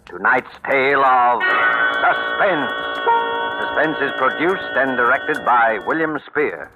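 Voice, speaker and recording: A man's voice: medium pitch, animated, takes it slowly. An American man reading scripted announcements for a 1940s radio program on a muffled vintage recording. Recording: muffled vintage radio, loud music behind.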